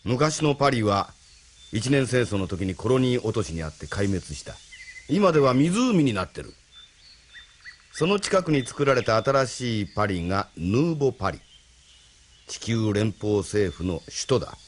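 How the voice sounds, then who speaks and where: A man acting a genial, teasing older gentleman with a courtly, knowing manner in a Japanese audio drama. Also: medium pitch, very animated, normal speed, slight background noise, natural voice. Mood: wry, genial.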